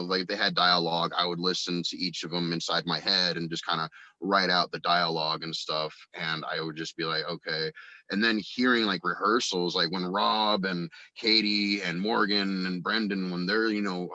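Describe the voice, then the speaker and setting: A man's voice: deep voice, bright, forceful tone, no echo, muffled recording. A man chatting casually as an interview guest, rambling enthusiastically about his creative work. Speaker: guest, audio drama writer and voice actor.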